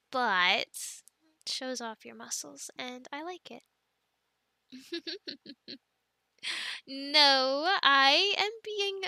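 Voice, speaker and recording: Woman acting as young girlfriend, very bright voice, fairly high, unhurried, affectionate and playful, casual roleplay, close dry sound. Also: no room sound, full character voice. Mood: teasing, flustered. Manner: giggly, hesitant, chatty with fillers and pauses.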